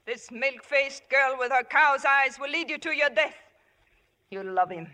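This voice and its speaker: A woman's voice: sits high, a little slow, sharp tone. A woman playing a seductive, possessive Philistine temptress in theatrical, intense 1950s radio drama style. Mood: jealous, urgent. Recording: vintage radio broadcast with music.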